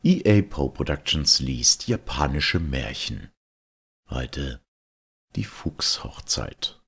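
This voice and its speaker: A man with a raspy, gravelly voice reading a fairy tale as a scripted narration in a deliberate, expressive manner. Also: extremely low pitch. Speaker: storyteller.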